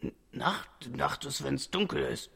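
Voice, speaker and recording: A man playing a sleepy, grumbling, wise talking stone in a children's radio play, slow and hesitant with a rough, gravelly voice. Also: mid-range voice, a bit bright, exaggerated voice. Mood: patient.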